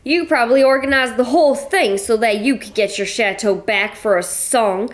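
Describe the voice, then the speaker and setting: A woman's voice: fairly high pitch, moderate pace, lightly acted. Woman doing a brash widow, slangy, blunt, raised voice, audio drama. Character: brash gun-toting American widow who owns a chateau. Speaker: Mrs. Gibson.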